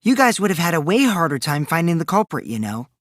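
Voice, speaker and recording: A man's voice: on the high side, sing-song, fast talker, no room sound, clean studio sound. A man voicing a mischievous, taunting teenage boy in an English video game, with childish, singsong mockery and theatrical delivery. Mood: sly, cool. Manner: childish, singsong mockery, theatrical swings from gleeful to coolly sly.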